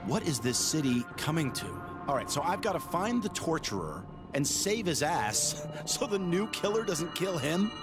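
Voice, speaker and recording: An actor playing a weary, sardonic ousted male agent in a video game cutscene, with a low-key manner. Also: big pitch swings, moderate pace. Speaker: detective. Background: loud music.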